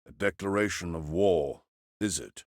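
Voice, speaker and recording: Woman as a stern older lord, low and slow. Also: darkish tone, no room sound, studio quality, heavily acted. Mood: bitter. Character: stern, bitter older male lord magus.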